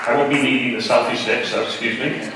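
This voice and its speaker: A man's voice: mid-range voice, fairly even intonation, fast, over a noisy crowd. A man giving an informal, self-deprecating and slightly hesitant conference presentation. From Liverpool, England. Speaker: conference speaker, marketing director. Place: large conference hall. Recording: very echoey hall, distant, some noise.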